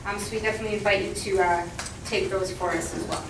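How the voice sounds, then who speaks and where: A woman's voice: mid-range voice, steady tone, fast. A woman giving informal announcements at a community meeting, friendly, with frequent ums. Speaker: meeting coordinator. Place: meeting room. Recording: roomy, distant, noisy room recording.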